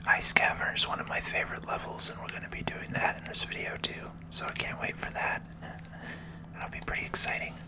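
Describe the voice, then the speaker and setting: A host whispering gentle, unhurried ASMR commentary over a video game playthrough, in a soft, breathy, soothing voice.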